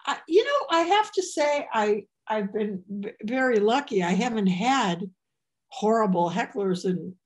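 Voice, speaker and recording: A woman comedian talking as an interview guest in a playful, halting way, with many restarts. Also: mid-range voice, animated. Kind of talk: casual interview chat.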